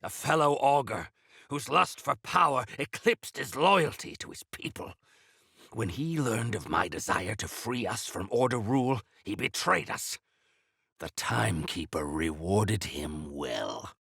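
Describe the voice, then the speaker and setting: An actor playing a grandiose, eccentric exiled miner rebel in a video game, speaking in florid, archaic, theatrical phrases. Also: male voice, fairly low pitch, animated, a little slow, creaky, a bit bright, slightly rough, dry recording, studio quality, exaggerated voice. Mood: grave, bitter.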